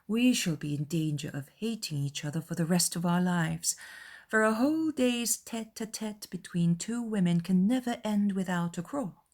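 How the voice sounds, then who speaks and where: A woman acting out a gentle young lady in a dramatized classic novel, mild and formal in manner. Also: medium pitch, lightly acted. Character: gentle, polite young lady, eldest daughter.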